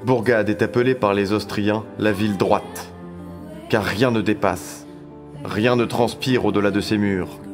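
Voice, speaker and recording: A man's voice: lowish voice, steady tone, a little slow, over music, natural voice. A man acting as a grave storyteller, delivering scripted dramatic narration of a dystopian tale.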